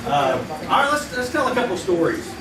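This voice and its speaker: A man plays a middle-aged friend telling a campfire story in a folksy, animated way. His voice sits fairly high. It was caught live in an echoey theater from some distance, with audible room noise. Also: moderate pace. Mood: lively. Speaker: campfire storyteller in a radio play. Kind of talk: live old-time radio play acting.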